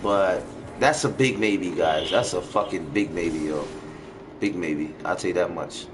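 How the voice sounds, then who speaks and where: A man's voice: sits low, a little slow, over music. A man hosting a gaming livestream, chatting casually in slangy, profane language. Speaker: game livestream host. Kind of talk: casual gaming stream chat. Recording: noisy home stream with game ambience.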